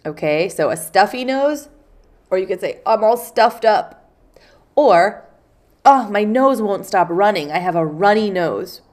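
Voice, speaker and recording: A woman's voice: medium pitch, animated, unhurried, a little mellow. A woman with a cold and a congested, nasal voice, teaching English vocabulary in a casual lesson and acting out short example phrases. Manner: patient, explains with spoken examples. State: stuffy nose from a cold.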